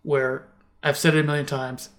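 A man's voice: mid-range voice, flat delivery, normal speed, a bit bright. A man co-hosting a casual album review podcast, talking in a rambling way with many restarts and fillers. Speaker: podcast co-host.

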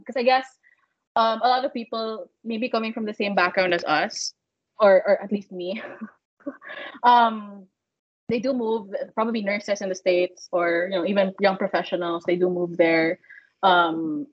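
A Filipino woman in her twenties with a Filipino accent, chatting casually, laughing often and filling her talk with "like". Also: medium pitch. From Philippines. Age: 20s. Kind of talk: casual chat. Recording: dull lo-fi sound.

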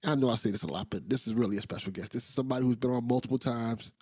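A man's voice: mid-range voice, rapid-fire, a little mellow, husky, dry recording, studio quality. A man hosting a podcast in an enthusiastic, casual way, laughing often and repeating his words. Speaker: podcast host.

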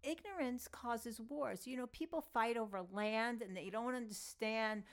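A woman's voice: on the high side, unhurried. A woman with a Long Island New York accent hosting an informal solo talk as a teacher, emphatic and repeating phrases for stress. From Long Island, New York, United States. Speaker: podcast host and yoga philosophy teacher. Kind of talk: informal solo spoken lecture.

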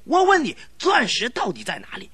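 Haughty old duke, blustering, scolding, bright voice, husky edge, big swings in pitch. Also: male voice, huge pitch swings, normal speed, full character voice. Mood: indignant. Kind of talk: radio drama acting.

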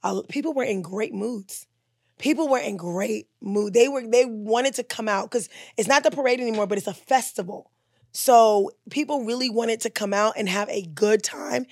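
A woman's voice: a little high, normal speed, a little mellow, no echo, studio quality. A young woman co-hosting a casual podcast about bar life. She gushes, repeats herself and restarts her sentences a lot. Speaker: podcast co-host and bartender. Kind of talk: casual chat.

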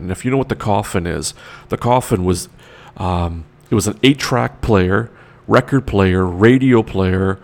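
Deep gravelly man rambling. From Canada. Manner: rambling, enthusiastic, many fillers and restarts. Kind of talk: casual solo talk.

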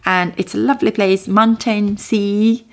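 A woman from Italy with an Italian accent, a podcast guest talking in a lively, expressive way with quick bursts and hesitations. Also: medium pitch. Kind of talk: casual interview talk.

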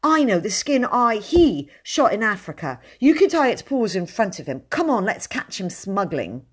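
A woman's voice: fairly low pitch, very animated, measured pace. A woman voicing a bossy, swaggering small boy in a storybook, with a dramatic, self-important manner. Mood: excited. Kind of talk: storybook character voice.

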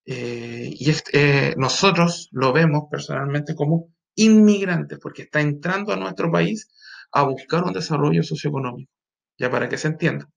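Chilean male teacher, gravelly mid-range voice, rambling lecture with lots of fillers and check-ins. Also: a little high, normal speed, lo-fi sound. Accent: Chilean Spanish. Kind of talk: recorded class lecture.

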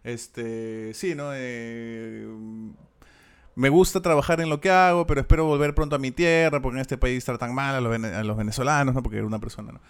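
A man's voice: medium pitch, fairly quick, clean tone. A man with a Peruvian accent, co-hosting a casual chat in an easygoing, reflective way. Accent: Peruvian Spanish. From Peru.

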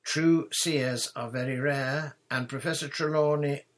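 Stern older female magic teacher, male reader, storybook; unhurried, exact, dryly no-nonsense. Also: a little high, fairly even intonation, pitched above the performer's own voice, natural voice. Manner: precise, matter-of-fact, dry.

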